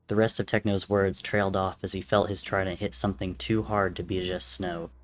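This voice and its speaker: A man's voice: low-pitched, level tone, brisk, slight background noise. A man reading fiction as a dramatic, scripted narrator. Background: soft music or ambience.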